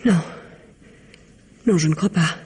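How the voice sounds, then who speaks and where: A young female suspect in a radio crime drama, worn out and despairing. Her voice is very breathy and soft-toned, nearly whispering, with halting, hesitant phrases. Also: fairly low pitch, exaggerated voice. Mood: uneasy.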